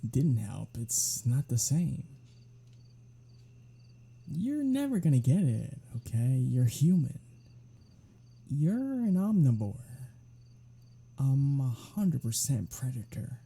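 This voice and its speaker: A sardonic vampire predator, acted out by a performer in an ASMR audio drama, teasing and unrepentant. The voice is very husky and breathy, with a dark, soft tone. The words come slowly and casually, with swearing mixed in. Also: mid-range voice, very animated, full character voice. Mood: argumentative, sardonic. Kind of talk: ASMR roleplay audio drama, one-sided dialogue.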